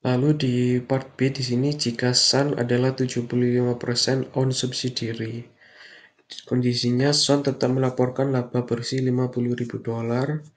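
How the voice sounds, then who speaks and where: Flat-toned young man presenting. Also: mid-range voice, moderate pace. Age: young adult. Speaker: university student presenter. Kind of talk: slide presentation, explanatory reading.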